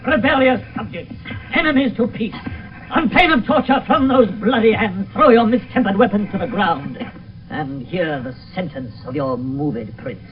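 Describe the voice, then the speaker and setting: A man's voice: high-pitched, moderate pace, faint music behind, lightly acted. An actor playing a stern, angry prince in a radio Shakespeare play, declaiming commands to his subjects. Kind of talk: 1970s radio Shakespeare acting. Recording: dull, hissy 1972 radio recording, distant.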